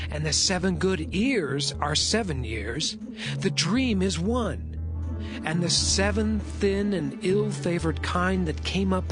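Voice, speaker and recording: A man playing a composed young Hebrew interpreter of dreams in a dramatized scripture reading, measured and earnest. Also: on the high side. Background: soothing background music.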